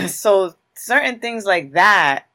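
A guest in their thirties from Newark speaks, answering in long, emphatic stories full of casual street phrasing. The voice sits at a middle pitch, neither high nor low. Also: lively intonation, slow, bright, forceful tone. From Newark, New Jersey. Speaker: guest, creative in publishing.

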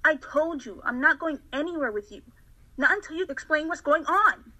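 A woman's voice: sits high, expressive, moderate pace, pushed and bright, full character voice. A woman playing a seventeen-year-old girl who is suspicious and defiant toward a stranger, in an amateur radio drama.

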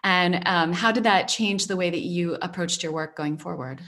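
A woman hosting a casual interview, reflective and storytelling, with frequent pauses. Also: fairly low pitch, a bit bright. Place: based in Spain.

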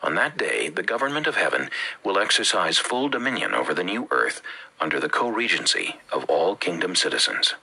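A man from the Bahamas with a Bahamian accent, reading a religious teaching with measured, emphatic phrasing. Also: deep voice, very animated, vocal fry, sharp tone, slightly rough, rough recording. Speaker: preacher. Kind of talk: read-aloud religious teaching.

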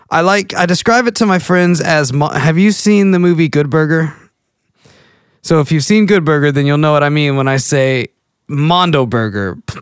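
A man's voice: on the high side, smooth, no room sound, studio quality. Goofy rambling Texan guy in his late twenties. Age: late 20s. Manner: goofy, rambling, self-interrupting. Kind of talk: casual rambling monologue.

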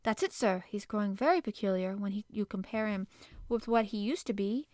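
A fussy, flustered housekeeper, put on by a woman reader, rattles on in a breathless, eager-to-please rush. Her voice sits higher and louder than plain narration, and the sound is dry and close. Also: brisk, no echo, close to an everyday voice. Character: flustered middle-aged female housekeeper, anxious to please.

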